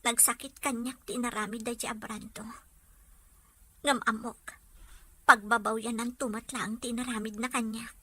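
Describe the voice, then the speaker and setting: A woman's voice: on the high side, steady tone, normal speed, pauses often, pushed and bright, slightly rough. A young woman acting a hurt but dutiful and resilient young woman in a drama serial. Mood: heartbroken.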